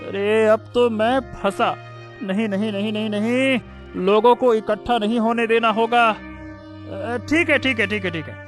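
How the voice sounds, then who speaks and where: A man's voice: high voice, steady tone, a little slow, soft background music. A man playing a greedy, cowardly minister in a cartoon story, flustered and repeating his words nervously. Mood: panicked, hushing.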